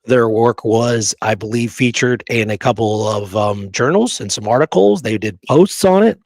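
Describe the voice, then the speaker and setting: A man's voice: mid-range voice, darkish tone, dry recording. Man in casual history talk, dry close sound. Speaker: host of a history discussion show.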